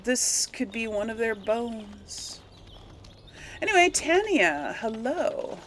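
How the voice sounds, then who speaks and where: A woman's voice: on the high side, big pitch swings, takes it slowly, fairly bright tone, a little hiss or hum. A woman giving casual gameplay commentary, rambling and thinking aloud as she goes. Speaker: let's play host.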